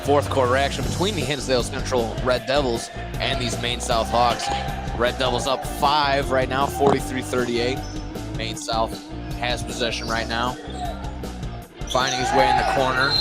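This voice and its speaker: Male, basketball play-by-play announcer, medium pitch, live radio commentary, courtside gym, game sounds behind. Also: flat delivery, moderate pace, loud music behind the voice.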